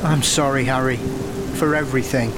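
An actor playing a guilt-ridden brother who sounds exasperated and remorseful. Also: male voice, mid-range voice, steady tone, moderate pace, lightly acted. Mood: hushed. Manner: exasperated, then hushed and remorseful. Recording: noisy storm backdrop.

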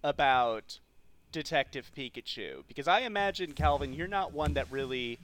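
A man's voice: on the high side, lively intonation, normal speed, a bit bright, no echo. A man co-hosting a podcast, talking casually and explaining things in a conversational way. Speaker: podcast co-host. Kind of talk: casual film discussion.

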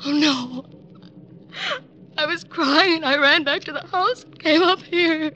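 A woman playing a haughty, high-strung young rich woman in an old radio drama. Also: slow pace, sharp tone, dull audio, heavily acted. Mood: shaken. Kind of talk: 1940s-50s radio drama acting. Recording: old radio recording with faint noise.

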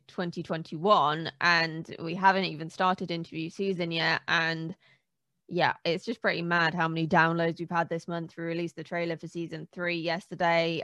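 A woman's voice: fairly low pitch, a bit bright, dry recording. A woman co-hosting a podcast in casual, conversational chat. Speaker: podcast co-host.

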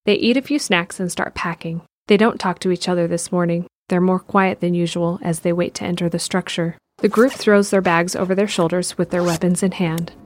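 A woman's voice: on the low side, steady tone, a little fast, few pauses. A woman reading scripted narration for an audio drama. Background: faint music.

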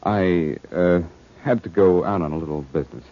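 An actor playing a suave, calm, concerned amateur detective in 1940s radio drama. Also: male voice, sits low, normal speed. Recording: dull old radio recording.

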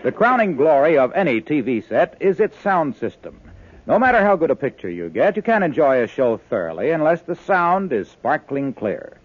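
An American man announces a vintage 1950s radio commercial in a slightly high voice with animated pitch. His delivery is polished and emphatic. The recording is dry and muffled. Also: normal speed, a little hiss or hum. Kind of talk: 1950s radio advertisement narration.

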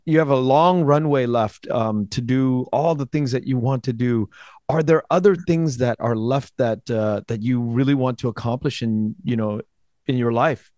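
A man's voice: medium pitch, darkish tone, no echo, clean studio sound. A middle-aged man interviewing a guest, searching for words and restarting as he asks his questions. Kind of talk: casual interview conversation.

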